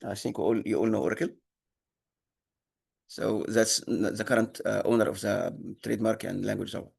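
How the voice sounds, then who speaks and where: A man whose first language is Arabic, teaching an online programming class, halting with many fillers and restarts. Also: lowish voice, fairly even intonation, vocal fry, a little mellow, husky. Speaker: instructor. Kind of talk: online lecture.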